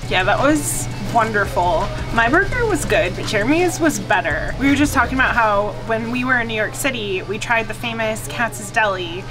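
A woman's voice: a little high, animated, moderate pace, hardly any pauses, poor audio. A woman in her thirties chatting enthusiastically to camera as a food vlogger, recorded close in a small restaurant booth. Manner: chatty, enthusiastic, lots of fillers. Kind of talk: casual chat to camera. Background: background music.

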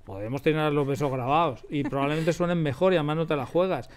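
A man's voice: fairly high pitch, fast talker. Emphatic Castilian Spanish man in conversation. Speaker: radio drama producer, interview guest. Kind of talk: conversational interview, explaining and telling anecdotes. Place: radio drama studio.

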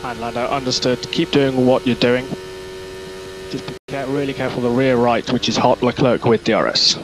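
A man working as a race engineer gives terse, measured instructions over team radio, often repeating them. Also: mid-range voice, steady tone, music in the background. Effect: team radio transmission. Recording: noisy team radio with vehicle sound.

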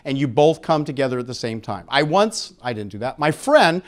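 A man's voice: mid-range voice, sing-song, brisk. A middle-aged man giving a frank, informal live lecture in a jokey, conversational way, speaking into a handheld microphone. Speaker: conference speaker on sexual health. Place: indoor conference room with seated audience.

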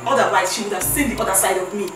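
A woman's voice: medium pitch, racing, recorded from a distance, lightly acted. A woman playing a protective wife and mother, heated and firm. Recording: very echoey room, off-mic, music behind.

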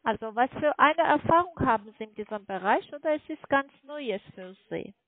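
High-pitched foreign-accented woman on phone. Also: animated, no echo. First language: not German. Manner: insistent, halting, often seeking confirmation. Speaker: call centre telemarketer selling online trading. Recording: muffled phone line, fluctuating microphone volume.

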